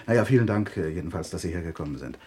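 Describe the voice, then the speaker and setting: A man's voice: low-pitched, expressive, racing, darkish tone, slight background noise. An actor playing a courteous but preoccupied businessman asking clipped questions in a German radio crime drama. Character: preoccupied, courteous businessman, quietly urgent and troubled.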